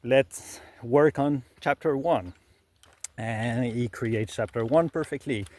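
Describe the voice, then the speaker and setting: A man's voice: medium pitch, slow pace, darkish tone, no echo. A middle-aged man with a French accent, a native French speaker, explaining his process in casual talk with frequent fillers and restarts. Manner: hesitant, many fillers and restarts. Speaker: creator sharing his process.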